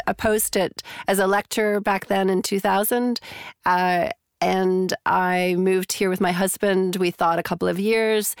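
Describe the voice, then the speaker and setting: A woman's voice: medium pitch, creaky, smooth, dry recording, clean studio sound. A middle-aged woman from Canada with a Canadian accent, a psychology professor answering interview questions thoughtfully, with many fillers and restarts.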